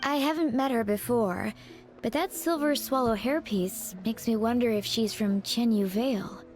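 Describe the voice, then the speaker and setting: Casting: actress as professional female undertaker for a game, fairly high voice, polite and measured, faint music behind. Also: a little mellow, natural voice. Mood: concerned. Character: composed, courteous female undertaker, discreet and professional. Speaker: funeral parlor staff character. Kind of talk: video game voice acting.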